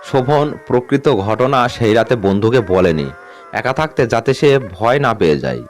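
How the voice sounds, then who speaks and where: A man narrating a scripted ghost story in a measured, suspenseful way. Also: medium pitch, expressive. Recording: music bed under the voice. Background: background music.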